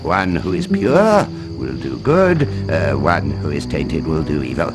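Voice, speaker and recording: An actor playing a mild, learned professor who explains ancient lore with hesitant little chuckles in a game cutscene. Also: male voice, very deep voice, big pitch swings, unhurried, over music, exaggerated voice. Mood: storytelling, intrigued. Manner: expository storytelling with hesitant chuckles. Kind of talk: scripted video game cutscene acting.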